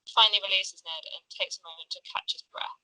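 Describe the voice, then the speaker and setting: A woman's voice: medium pitch, steady tone, fast talker, pushed and bright, no room sound, poor audio. A woman narrating the stage directions of a script at a table read, occasionally stumbling over the text.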